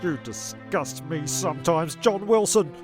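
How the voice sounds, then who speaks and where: Guy doing an aussie castaway drama queen, high voice, aggrieved and over-sharing, faint music behind. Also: lively intonation, a little slow. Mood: indignant. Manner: histrionic, indignant, over-sharing. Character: melodramatic, aggrieved young male castaway, self-pitying.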